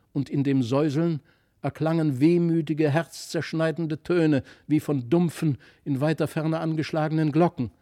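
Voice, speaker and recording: Audiobook narrator, male: expressive fairy-tale storytelling, highish voice, dry sound. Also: no echo.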